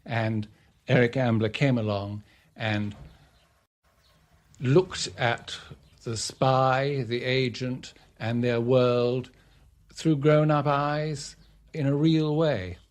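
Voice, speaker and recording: A man's voice: mid-range voice, fairly even intonation, slow, long pauses. A man, a writer and critic, giving reflective spoken commentary.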